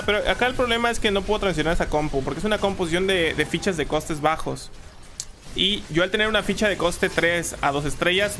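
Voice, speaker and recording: A young man, chatting casually while playing a strategy game, reading chat and breaking into loud exclaims. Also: fairly high pitch. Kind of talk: casual live gameplay commentary. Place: small room at home. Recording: game music behind the voice.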